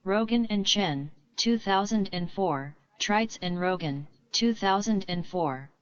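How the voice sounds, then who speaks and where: A woman's voice: medium pitch, animated, takes it slowly, clean tone, muffled recording. A synthetic woman's text-to-speech voice reading academic text aloud.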